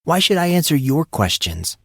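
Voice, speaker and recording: A man's voice: fairly high pitch, sing-song, moderate pace, creaky, fairly bright tone, no echo, studio quality, pitched above the performer's own voice, exaggerated voice. A man voicing a tense, guarded, sarcastic teenage boy with a wry, defensive way of talking in an audiobook. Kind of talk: audiobook drama reading.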